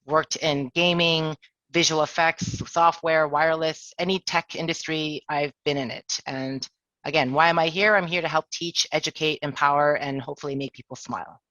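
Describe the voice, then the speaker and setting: Bright chatty woman on a video call. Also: low-pitched, fairly even intonation, normal speed, no echo, muffled recording. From Toronto, Canada. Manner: chatty, self-deprecating, jokey. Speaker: HR director and workshop presenter. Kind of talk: online workshop presentation.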